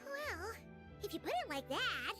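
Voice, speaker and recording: A woman voicing a tiny, cheerful, childlike fairy companion in a video game, with an excitable, squeaky delivery over music. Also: measured pace, heavily acted. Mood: protesting. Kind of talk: scripted video game dialogue.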